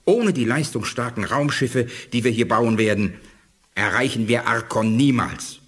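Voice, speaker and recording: An actor playing a dignified, shrewd alien scientist in a 1980s German sci-fi radio play, speaking in a measured, formal, didactic way. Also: male voice, medium pitch, a bit bright, slightly rough. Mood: instructive.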